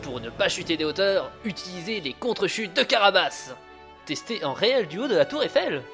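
High-voiced, eager inventor brother. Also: male voice, very animated, brisk, a bit bright, faint music behind, exaggerated voice. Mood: musing. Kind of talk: audio drama acting.

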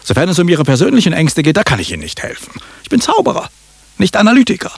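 An actor in a radio comedy playing an irritable, overworked small-time magician, raspy and gravelly, grumbling theatrically. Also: male voice, high voice, huge pitch swings, fast talker, dry recording, exaggerated voice. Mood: indignant. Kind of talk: radio comedy drama acting.

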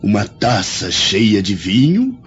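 Drawling, swooping lecherous Roman seducer. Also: male voice, lowish voice, huge pitch swings, slow pace, slight background noise, full character voice. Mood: smug, amorous. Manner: leering, drawling, full of chuckles. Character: lecherous, smug Roman lover, wine-loving seducer. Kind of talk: radio drama acting. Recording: muffled radio drama with music behind.

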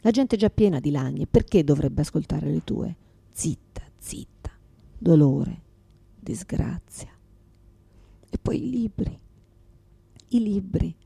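Radio theatre monologue: exhausted, confused mother, raspy and creaky, fragmented delivery, bitter and pleading. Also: low voice, lively intonation, takes it slowly, long pauses, warm tone, no room sound, full character voice. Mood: weary, hushed sorrow. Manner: fragmented, repetitive, swearing, swinging from whispers to outbursts.

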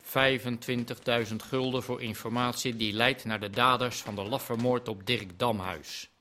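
Male actor as distrustful crime boss, mid-pitched, curt, sardonic, menacing, radio drama, slight background noise. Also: fairly even intonation, moderate pace, pushed and bright, natural voice. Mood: suspicious, menacing. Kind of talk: naturalistic radio drama acting.

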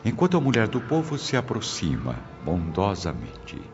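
Narrator for radio drama: man, very low register, formal and dramatic, muffled recording over clear music. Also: wildly swooping pitch, normal speed. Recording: dull lo-fi audio with music behind.

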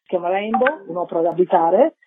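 A woman's voice: lowish voice, expressive, fast, husky. A woman, a festival director explaining a programme as a radio interview guest. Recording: narrow-band radio phone line.

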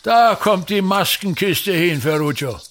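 A man's voice: sits high, lively intonation, a little slow, a bit bright, soft background music. An actor in a radio play as a pompous, self-pitying older theatre director and father, grandiloquent and complaining, repeating himself. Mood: sardonic.

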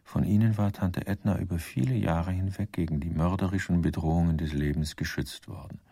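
Deep, gravelly male narrator. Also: fairly quick, no echo. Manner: dry, deadpan. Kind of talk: scripted radio drama narration.

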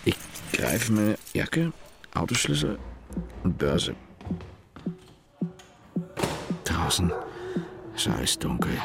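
Ein Schauspieler als verkaterter junger Mann, der trocken und in knappen Satzfetzen seine Nacht erzählt, im Hörspiel. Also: male voice, deep voice, big pitch swings, slow, gravelly, full character voice. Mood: flat reporting. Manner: clipped fragments, dry, sardonic. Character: weary hungover young man narrating his night. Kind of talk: radio drama monologue. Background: music.

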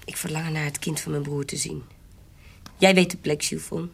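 An actress playing a shrewd, defiant adventuress in an audio period drama, guarded, cool and commanding. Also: female voice, low voice, steady tone, moderate pace, a little hiss or hum. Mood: insistent. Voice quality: husky. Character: shrewd, defiant adventuress, forger and courtesan posing as noble. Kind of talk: audio drama acting in Dutch period piece.

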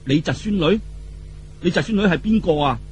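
A man's voice: high-pitched, animated, a little mellow, slight background noise. A man voicing a boastful, contrary old martial artist with a heavy stutter, blustering and drawing out his words in a storybook telling. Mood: boastful surprise. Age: older. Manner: blustering, drawn-out delivery.

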